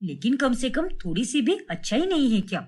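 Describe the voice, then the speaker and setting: A woman's voice: a little high, sing-song, fairly quick, darkish tone. Woman doing an earnest, reproachful young son. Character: young adult son, frank with his mother. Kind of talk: cartoon family drama story.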